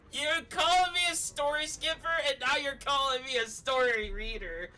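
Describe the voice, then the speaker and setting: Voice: sits high, normal speed, sharp tone, faint music behind. A streamer commenting playfully on a game, reading its text aloud and stumbling and swearing along the way.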